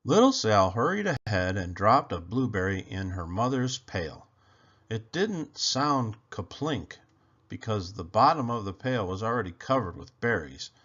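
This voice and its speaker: A man reading a children's story aloud in an expressive, deliberate storytelling manner. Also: on the low side, muffled recording. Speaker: children's book reader. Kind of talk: scripted storybook narration, read aloud.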